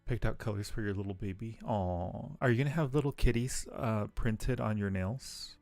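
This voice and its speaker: A man's voice: fairly low pitch, normal speed, darkish tone, no echo, soft background music. A man chatting casually and playfully with viewers on a livestream, teasing them affectionately. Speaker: live streamer hosting a chat.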